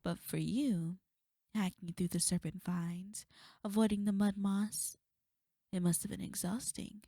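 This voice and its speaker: A woman playing a sly, teasing succubus in an intimate ASMR roleplay, breathy and often slipping into whispers. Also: medium pitch, steady tone, a little slow, mellow, dry recording, studio quality. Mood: amused, teasing. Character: sly, seductive female succubus, taunting and teasing. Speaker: audio roleplay performer.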